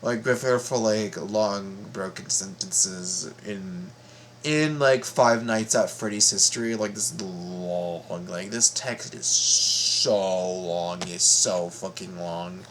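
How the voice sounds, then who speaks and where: A man giving casual reaction commentary as a video host, halting, informal and repeating himself. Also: low-pitched, fairly bright tone, a little hiss or hum.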